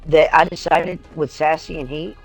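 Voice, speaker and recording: A woman's voice: low voice, steady tone, normal speed, breathy edge, poor audio. A middle-aged woman chats casually on a live stream with music playing behind her. She tells stories bluntly and voices the dialogue as she goes. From Ukraine. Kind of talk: casual chat, storytelling.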